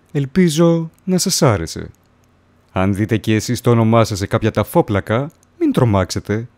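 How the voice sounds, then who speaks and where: A man speaking as a horror story host, in an ominous, theatrical, drawn-out scripted manner. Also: on the low side, a little mellow.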